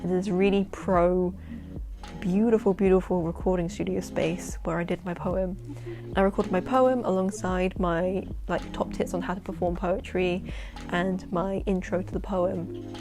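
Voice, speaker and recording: Husky London woman chatting over music. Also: fairly low pitch. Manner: chatty, excitable, quick asides and laughs. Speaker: poet and vlogger. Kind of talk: casual vlog chat to camera. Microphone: camera-mounted shotgun mic.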